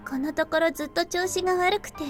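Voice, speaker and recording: A woman's voice: extremely high pitch, fairly even intonation, rapid-fire. A woman voicing a cheerful, polite young maid with a cutesy manner in a Japanese visual novel. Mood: polite, earnest. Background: background music.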